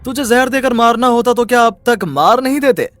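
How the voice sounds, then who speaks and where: A man's voice: extremely high pitch, expressive, fairly quick, fairly bright tone, no room sound, faint music behind. A man voicing a callous, impatient young husband in a family drama story, harsh and scornful.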